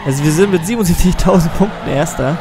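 A man's voice: a little high, fast talker. A teenage boy chatting casually through a racing game, rambling and self-deprecating, a little under the weather with a cold. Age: teens. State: has a slight cold. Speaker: let's play gamer. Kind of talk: casual gameplay commentary. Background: game music and car sounds.

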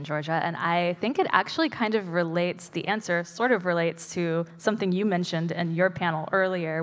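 A woman with a slightly low voice talks casually on a conference panel, filling her thoughts with "like" and "you know". Her speech carries the long reverb of a big hall. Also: fairly bright tone. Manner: casual, heavy use of "like". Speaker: content designer on a panel. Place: conference stage in a large hall.

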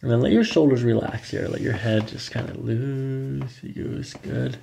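A middle-aged man with a heavily creaky, gravelly voice gives calm, reassuring step-by-step instructions as a chiropractor at work. His manner is gentle. Faint noise sits behind him in the treatment room. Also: mid-range voice, moderate pace. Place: small office treatment room, indoors. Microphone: microphone on boom arm near the table.

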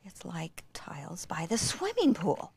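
A woman teaches a craft with gushing, breathless delight. Her intonation swoops up and down while the pace stays leisurely, often dipping into breathy, near-whispered asides. The sound is dry and close. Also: fairly low pitch, measured pace, dry recording. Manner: excitable, gushing, with breathy gasps and exclamations. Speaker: craft instructor. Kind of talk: enthusiastic hands-on craft demonstration.

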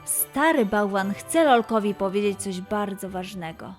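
A woman narrating a scripted children's story in an expressive way, with dramatic pauses and emphasis. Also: mid-range voice, soft background music.

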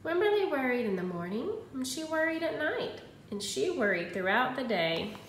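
A woman in her thirties, a kindergarten teacher giving an encouraging read-aloud lesson to young children, pausing after questions. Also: big pitch swings. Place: indoors at home, small room. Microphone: phone at arm's length. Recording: roomy echo, faint noise and rumble.

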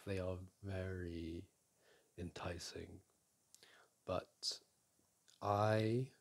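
A young man speaks close to the mic in a soft, teasing boyfriend roleplay. His voice is gravelly, husky and warm, and he talks in an unhurried drawl. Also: low voice. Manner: soft and teasing, with frequent sighs and chuckles. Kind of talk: intimate ASMR roleplay, speaking to an unseen partner. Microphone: close microphone.

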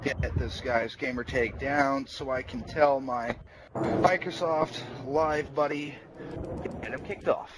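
A man's voice: medium pitch, takes it slowly. A man ranting while playing an online game, irate, sarcastic and foul-mouthed. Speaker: gamer, squad leader. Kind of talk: heated online gaming rant. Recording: very noisy game capture with gunfire and vehicles. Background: video game gunfire and vehicle noise.

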